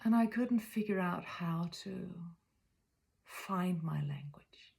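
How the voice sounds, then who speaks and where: Slow, gravelly Danish woman in her fifties. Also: on the low side, lively intonation. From Denmark. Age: 50s. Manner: soft, often whispery, halting and searching for words. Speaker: spiritual speaker. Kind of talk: reflective spiritual monologue.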